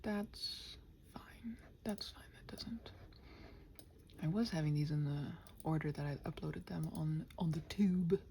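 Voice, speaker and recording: A woman's voice: low-pitched, measured pace, mellow, slight background noise. A woman in a casual, unscripted ramble, chatting playfully to listeners and muttering to herself in a scattered way as she works. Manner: playful, chatty, talks to her computer. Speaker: ASMR audio creator rambling to listeners.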